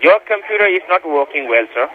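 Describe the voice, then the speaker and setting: A man's voice: a little high, animated, a little fast, crowd noise. A man giving repetitive, insistent step-by-step instructions as a tech support caller, addressing the listener as sir over a phone line. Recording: tinny phone line with background noise.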